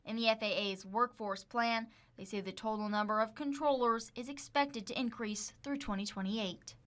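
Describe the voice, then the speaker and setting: A woman's voice: on the high side, steady tone, normal speed, a bit bright. An American woman working as a television news reporter, delivering a live report with scripted narration. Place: on location at an airport for live segments.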